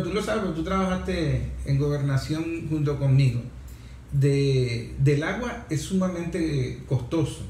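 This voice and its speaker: A Venezuelan man with a slightly high voice hosts a talk programme in an echoey room. He is reflective and conversational, pausing to search for words, with faint noise behind him. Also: a little mellow. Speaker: host, moderator.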